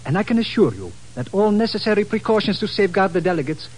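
An actor playing a formal, skeptical colonel in an old-time radio drama. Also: male voice, high voice, expressive, darkish tone, breathy edge. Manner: formal, courteous, measured wording. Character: formal, skeptical Portuguese colonel in charge of security. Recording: slightly noisy vintage radio recording.

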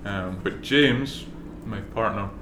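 A man's voice: lowish voice, slow. A middle-aged Scottish man, a brewery co-founder guest on a casual podcast, speaking in a laid-back way with dry humor and many fillers. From Scotland. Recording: roomy with noise behind.

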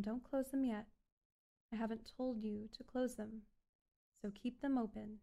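A woman performing an ASMR hypnosis roleplay as a calm, controlling doctor, speaking in a soothing, repetitive, coaxing way. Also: on the high side. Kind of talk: ASMR hypnosis roleplay, guided relaxation script.

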